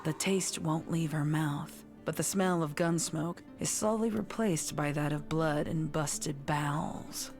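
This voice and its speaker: A woman narrates dark horror fiction in a low voice. She takes it slowly and with suspense, measured from phrase to phrase, over a bed of music. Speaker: story narrator. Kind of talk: dramatic scripted horror story narration.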